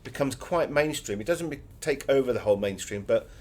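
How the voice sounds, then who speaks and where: A man's voice: medium pitch, animated, a little fast, a little mellow. A man giving conversational interview answers as an author, full of anecdotes.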